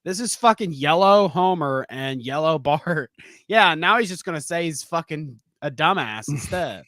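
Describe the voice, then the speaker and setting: A man's voice: a little high, lively intonation, unhurried, sharp tone, no room sound, studio quality. A man co-hosting a casual streaming podcast who laughs often and swears freely. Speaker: podcast co-host. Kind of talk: casual chat.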